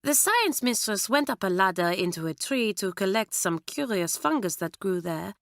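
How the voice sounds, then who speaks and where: A cheeky young French schoolgirl who speaks lightly and is not bothered by anything, as a woman puts her on. Her French accent is clear. The voice is bright and dry. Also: medium pitch, big pitch swings, normal speed, no echo. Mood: explaining.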